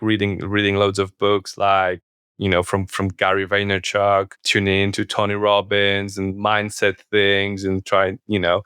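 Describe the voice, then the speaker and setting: Polish-accented man, low smooth voice. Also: fairly bright tone, dry recording, studio quality. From Poland. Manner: halting, many restarts and repeated words. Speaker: guest. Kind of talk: podcast interview.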